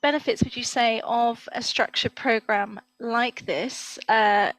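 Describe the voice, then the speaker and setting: A woman's voice: on the high side, fairly even intonation, slow pace, fairly bright tone, no echo, low-quality recording. A woman hosting an interview over a video call, upbeat and encouraging, often praising the guest's answers. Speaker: interview host. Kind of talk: hosted interview.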